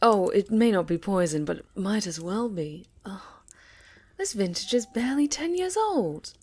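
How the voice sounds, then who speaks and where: A woman playing a haughty, sinister necromancer villainess in a soft-spoken ASMR roleplay, silky, condescending and mockingly sweet, often sinking to a whisper. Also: mid-range voice, very animated, husky. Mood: disdainful. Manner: condescending, silky, menacing, drawn-out.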